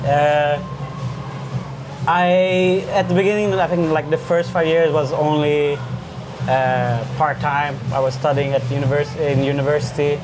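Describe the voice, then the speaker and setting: A man's voice: a little high, fairly even intonation, a little slow. Easygoing Swedish-raised man in his thirties. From Sweden. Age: 30s. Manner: easygoing, short answers, many uh fillers. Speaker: bartender, guest. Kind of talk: casual interview chat. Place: busy bar. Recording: noisy bar background.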